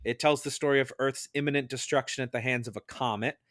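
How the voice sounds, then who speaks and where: A man's voice: medium pitch, fairly even intonation, fast talker, fairly bright tone, dry recording, clean studio sound. A man hosting a podcast in casual chat, with a teasing, interjecting manner. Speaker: podcast host.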